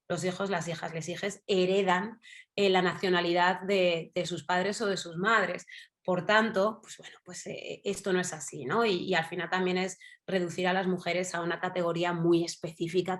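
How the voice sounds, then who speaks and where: A woman's voice: fairly low pitch, fairly bright tone. Talkative Spanish woman with a Madrid accent. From Spain. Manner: thoughtful, digressive, with many fillers and tag questions. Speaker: journalist guest. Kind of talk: casual talk in an online panel.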